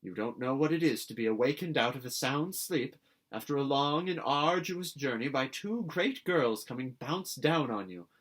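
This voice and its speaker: A man's voice: mid-range voice, lively intonation, moderate pace, a bit bright, voice pushed up. A man reading a storybook as a stern, prim old woman with a severe, clipped manner. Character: stern, prim old great-aunt, severe but softening.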